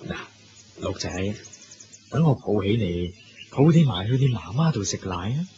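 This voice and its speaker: A man's voice: lowish voice, very animated, normal speed. A man with a Hong Kong Cantonese accent playing a grave, compassionate hunting king in a Buddhist radio drama. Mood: gentle. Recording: dull lo-fi recording with faint noise.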